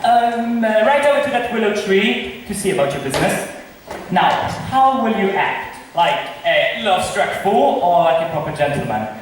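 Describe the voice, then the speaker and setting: A young man playing a witty, teasing gentleman in amateur stage Shakespeare, recorded from far off in an echoey hall. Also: high voice, sing-song, moderate pace. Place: drama club stage in a large echoey room. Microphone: far camera. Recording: distant, echoey hall with noise.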